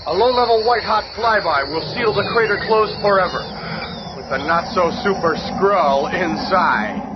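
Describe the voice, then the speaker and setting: A dull, noisy recording features a man playing a young flame-powered hero in a radio play. The voice is high for a man, eager and cocky. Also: moderate pace, close to an everyday voice. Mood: cocky eagerness. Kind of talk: superhero radio drama.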